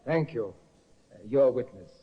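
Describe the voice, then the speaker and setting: Man acting as a brisk formal prosecutor, lively swooping pitch, lo-fi old radio sound. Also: close to an everyday voice. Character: brisk male prosecuting barrister. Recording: dull lo-fi old radio broadcast.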